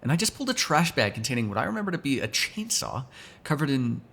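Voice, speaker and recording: A man's voice: medium pitch, very animated, fast talker, gravelly, a bit bright, exaggerated voice. A man playing a sardonic, teasing, foul-mouthed vampire in an ASMR roleplay, talking casually to one listener. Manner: casual, teasing, sarcastic, swears freely.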